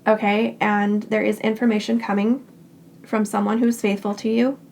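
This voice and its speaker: Raspy, hoarse woman reading tarot. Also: medium pitch. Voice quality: raspy, scratchy throat. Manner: calm and reassuring, speaks in short unhurried phrases. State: hoarse, getting over a cold. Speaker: tarot card reader. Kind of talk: pick-a-card tarot reading.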